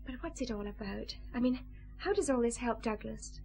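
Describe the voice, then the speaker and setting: An earnest, vulnerable woman in a radio play. Her voice sits fairly high and sounds like an ordinary office worker's. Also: lively intonation, moderate pace, vocal fry, darkish tone, soft background music. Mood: eager. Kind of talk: radio drama acting.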